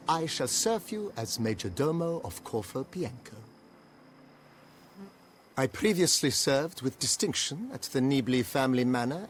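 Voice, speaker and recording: An actor playing a formal, eager, courteous majordomo of a country estate, with a pompous, deferential manner, in a theatrical video game style. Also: male voice, mid-range voice, lightly acted. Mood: proud, formal.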